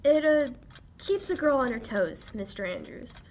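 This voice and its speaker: A woman's voice: sits high, lively intonation, a little slow, a little mellow, slight background noise. A young woman acting a detective's feisty, devoted sidekick in 1940s radio style, earnest and coy. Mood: coy, curious. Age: young adult.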